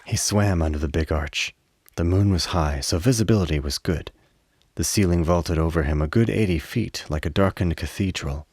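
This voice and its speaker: Very deep-voiced male storyteller. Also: a little mellow, breathy edge, no room sound. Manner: measured, literary storytelling. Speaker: narrator. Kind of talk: scripted dramatic narration of a radio play.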